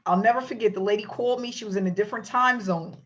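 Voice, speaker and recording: A woman hosts a motivational online webinar, telling her own story with animated energy. Her voice sits a little high. The sound is dull and lo-fi, like audio from an online call. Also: rapid-fire. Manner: animated storytelling, acts out quotes. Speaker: webinar host.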